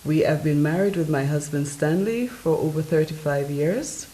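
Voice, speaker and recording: A woman in her sixties, raised in the United Kingdom, recalling her marriage in a plain, steady way. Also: sits low, normal speed. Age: 60s. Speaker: interviewee, wife. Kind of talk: personal recollection. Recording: echoey room with faint background hum.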